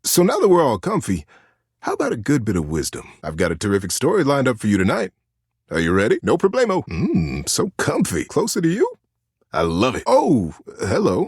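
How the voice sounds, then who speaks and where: A man voicing a kindly, folksy old childhood teddy bear in a video game, talking like a gentle, earnest storyteller. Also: mid-range voice, breathy edge, no echo, studio quality, heavily acted. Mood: cozy, welcoming. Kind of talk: video game character dialogue.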